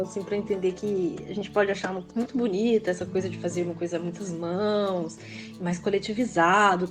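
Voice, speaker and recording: A woman from Belo Horizonte with a Minas Gerais accent, a guest author talking casually and reflectively, with frequent hesitations. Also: mid-range voice. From Belo Horizonte, Brazil. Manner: reflective, hesitant, fills with "assim", laughs often. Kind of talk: casual interview conversation.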